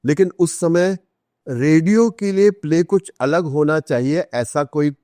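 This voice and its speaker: A man's voice: a little high, a little slow, warm tone, dry recording. A man with an Indian accent explains and tells anecdotes in conversation as an interview guest, emphatically, repeating phrases, asking rhetorical questions and slipping into English. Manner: mixes Hindi with English phrases.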